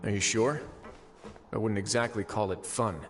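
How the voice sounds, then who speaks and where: A composed duke of an underworld fortress in an anime game, played by a man. His voice is low, measured and courteous, with a sly wryness, over clearly heard music. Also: steady tone, natural voice. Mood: calm concern. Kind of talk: anime video game voice acting.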